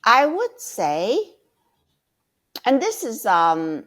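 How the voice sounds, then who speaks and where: A middle-aged woman guest with a loud voice, speaking passionately and emphatically, often restarting her sentences. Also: a little high, huge pitch swings, extremely slow. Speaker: guest mentor. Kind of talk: conversational interview.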